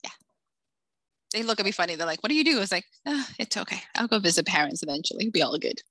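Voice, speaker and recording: A woman's voice: mid-range voice, fast talker, dry recording. A middle-aged woman author from the Dominican Republic, raised in Massachusetts, chatting in a casual interview and acting out the voices of people in her stories. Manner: animated storyteller, acts out quoted voices. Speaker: author guest.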